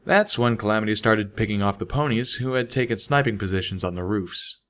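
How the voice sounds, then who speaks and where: A man's voice: lowish voice, fairly quick, a bit bright. A man reading a scripted first-person story as an audiobook narrator. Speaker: audiobook reader.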